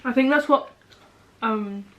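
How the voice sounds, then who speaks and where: A woman's voice: a little high, takes it slowly. A young woman chatting casually while eating, rambling with lots of "um" and "like" fillers. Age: young adult. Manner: rambling, many "um", "like", "kind of" fillers. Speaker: vlogger, older sister.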